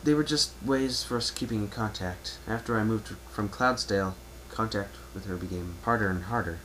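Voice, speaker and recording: A man's voice: on the low side, normal speed, a bit bright, close to an everyday voice. Casting: man reading as young male pony traveler, reserved, courteous, casual, over faint home-recording noise. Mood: friendly, earnest. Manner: polite, hesitant, casual. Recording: noisy amateur home recording.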